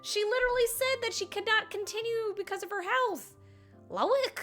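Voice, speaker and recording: A woman giving casual, expressive and playful reaction commentary as she streams a game. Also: very high voice, moderate pace, soft background music.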